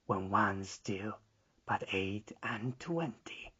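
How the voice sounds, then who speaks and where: Man as a slow, brooding old woman. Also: lowish voice, expressive, bright, forceful tone, husky, muffled recording, voice pushed down, full character voice. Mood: foreboding, mournful. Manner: very slow, droning. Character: aged woman, brooding, droning, foreboding.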